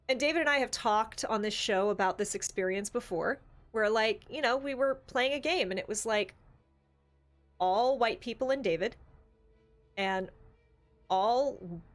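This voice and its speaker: A woman hosting a casual discussion show, talking conversationally with lots of "like" asides. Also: fairly high pitch. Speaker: show host. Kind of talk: casual panel discussion of tabletop gaming.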